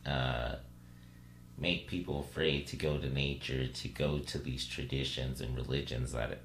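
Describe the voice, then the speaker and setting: A man's voice: flat delivery, measured pace, creaky. A man co-hosting a casual podcast discussion, musing aloud and often checking in with little tag questions. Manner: musing, tentative, often checking with "right?" and "you know". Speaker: podcast co-host. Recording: roomy echo, low hum and hiss.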